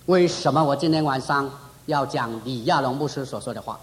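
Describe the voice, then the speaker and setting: A man's voice: mid-range voice, a little fast. A man preaching a fervent sermon at a church conference. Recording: reverberant hall.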